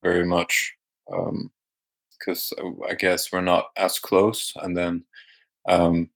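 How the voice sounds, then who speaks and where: A young man from Denmark with a mixed Danish, American and British accent, talking casually and haltingly as a guest. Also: low-pitched, completely flat delivery, gravelly. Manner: halting, searching for words. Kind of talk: casual chat.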